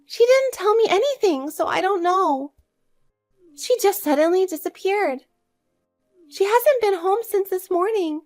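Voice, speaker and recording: A woman's voice: very high voice, animated, unhurried, a little mellow, faint music behind, voice pushed up. A woman voicing a frightened young granddaughter, plaintive and pleading, in an acted family story. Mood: worried, helpless.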